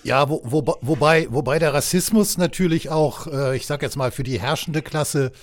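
A man from West Germany in a casual political chat, speaking in a measured, hesitant, long-winded way. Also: on the high side. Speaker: discussion partner.

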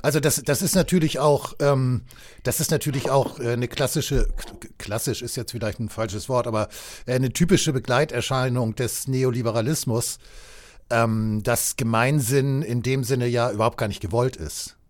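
Measured, hesitant man, mid voice. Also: medium pitch. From West Germany. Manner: measured, hesitant, long-winded. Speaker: discussion partner. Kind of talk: casual political chat.

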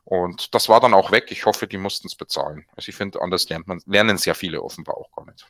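A man with a fairly low voice chatting on a podcast in an opinionated, wry way. His voice is recorded close and dry. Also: quick pace, a bit bright, dry recording. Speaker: podcast co-host. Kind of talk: casual chat.

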